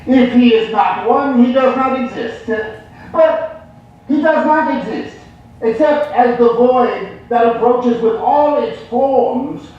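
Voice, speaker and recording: A woman playing a defiant, blasphemous heretic poet, ranting deliberately and theatrically on stage. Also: fairly high pitch, a little mellow. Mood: scornful, defiant. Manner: deliberate, declamatory. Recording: dull, very echoey, distant stage sound.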